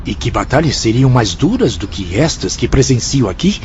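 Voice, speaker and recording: Actor as animated, troubled spirit pupil. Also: male voice, medium pitch, moderate pace, lightly acted. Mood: troubled, questioning. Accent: Brazilian. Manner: dramatic, questioning. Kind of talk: Brazilian radio drama acting. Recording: dull lo-fi audio, faint noise.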